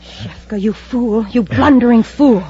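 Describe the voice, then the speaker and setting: A woman's voice: a little high, unhurried, dark tone. An actress playing an imperious, scheming female crime boss in a 1950s radio serial. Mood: scornful, commanding. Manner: haughty, commanding, smooth when lying. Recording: muffled old radio recording.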